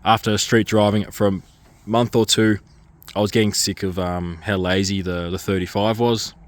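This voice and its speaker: A very low-voiced man walks through his car projects in a casual vlog. He is coming down with a cold and his voice is a little worn. He talks in a loose, rambling way, full of ums and restarts. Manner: rambling, hesitant, lots of ums. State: getting a cold, losing his voice. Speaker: car vlogger.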